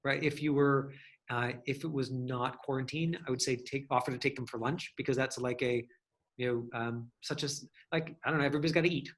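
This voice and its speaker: A man's voice: medium pitch, steady tone, a little fast, a bit bright. A man with a mid-range voice speaks as an acting teacher in an online class. He talks casually and thinks aloud, circling around his points with plenty of hedging.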